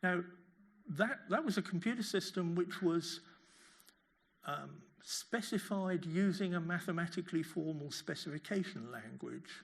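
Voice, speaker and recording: Older man, gravelly higher-pitched voice. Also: animated. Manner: halting, repeats words while phrasing. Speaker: professor giving a lecture. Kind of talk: lecture question-and-answer, explaining off the cuff.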